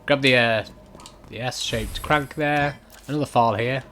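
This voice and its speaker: A man giving unhurried, instructional commentary over a video game walkthrough in a casual way. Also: mid-range voice, sharp tone, slight background noise. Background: video game ambience.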